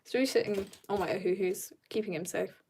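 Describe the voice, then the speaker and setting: A woman's voice: medium pitch, steady tone, normal speed, a little mellow, breathy edge. A young woman chatting casually on an art livestream, rambling and slangy as she jumps between asides in a giggly way. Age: teenager or young adult. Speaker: streamer drawing and chatting.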